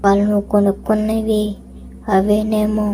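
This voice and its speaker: Casting: woman as teasing, sly talking fruit for a moral tale; mellow, flat delivery, faintly noisy recording. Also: medium pitch, moderate pace, natural voice. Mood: sly, mocking. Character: mocking, tricky talking fruit and vegetable. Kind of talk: animated moral story dialogue.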